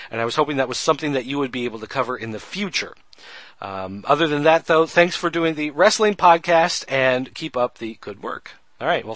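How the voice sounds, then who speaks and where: A man's voice: a little high, sharp tone, no room sound. A man hosting a wrestling podcast, reading listener emails aloud with rambling, self-mocking asides. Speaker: podcast host.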